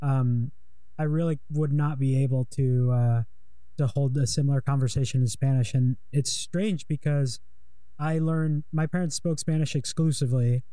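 A man's voice: mid-range voice, steady tone, moderate pace, warm tone, dry recording, rough recording. A young man in casual conversation, talking in a rambling, hesitant way with many fillers while interviewing a guest.

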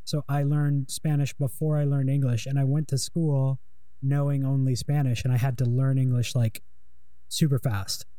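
A young man with a warm, mellow voice talks loosely and hesitantly, piling up fillers as he interviews a guest. The sound is dry and close, with no room around it. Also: medium pitch, little pitch movement, moderate pace, dry recording, rough recording. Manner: rambling, hesitant, lots of fillers. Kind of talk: casual conversation.

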